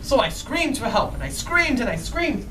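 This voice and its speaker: A woman's voice: fairly high pitch, normal speed, noisy background. A woman playing a friendly, eager Scarecrow who tells a story live to children and asks them questions. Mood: dramatic storytelling. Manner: animated, asks the listeners questions. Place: indoors in a bookstore. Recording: roomy live event, distant microphone.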